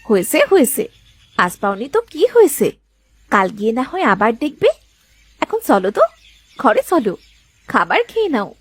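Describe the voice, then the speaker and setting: Cartoon fisherman's wife played by a woman: high husky voice, caring but scolding, fussy, coaxing. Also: sing-song, normal speed, pauses often, no echo. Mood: concerned, coaxing. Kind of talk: Bengali cartoon moral-story dialogue.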